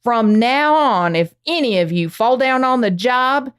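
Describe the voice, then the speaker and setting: A woman's voice: on the high side, expressive, a little slow, smooth. A woman playing a ruthless, hot-tempered young gang boss in a Western radio play, commanding and threatening, with an American accent. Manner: commanding, threatening cowboy drawl.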